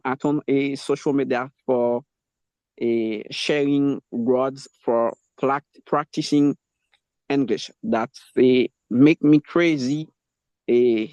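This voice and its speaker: Halting man, slow and echoey. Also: mid-range voice, a little mellow. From Haiti. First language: Haitian Creole. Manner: halting, searching for words. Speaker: language learner taking the microphone. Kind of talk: impromptu talk in English practice. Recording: muffled, roomy online call audio.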